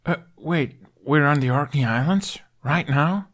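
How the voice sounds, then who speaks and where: A man's voice: on the high side, unhurried, fairly bright tone, voice pushed up. A man voicing an awkward, nervous Viking boy in an audiobook, hesitant and stammering. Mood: alarmed. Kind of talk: character voice in audiobook narration.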